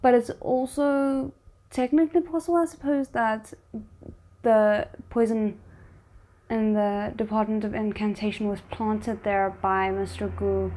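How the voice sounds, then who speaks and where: A woman's voice: medium pitch, mellow. A woman doing casual reaction commentary, thinking aloud in tentative asides as she watches. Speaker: reaction video host.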